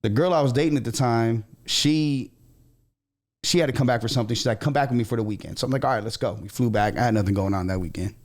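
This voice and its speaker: A man's voice: mid-range voice, fast talker, darkish tone. An American man from Southern California hosting a podcast, telling personal stories in casual, slangy conversation. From Southern California, USA. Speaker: podcast host. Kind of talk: casual storytelling chat.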